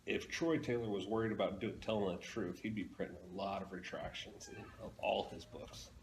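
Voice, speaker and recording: A man's voice: lowish voice, animated, fairly quick, vocal fry. A man in casual conversation as a comic book fan, with some room noise around him. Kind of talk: casual chat. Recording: noisy room ambience.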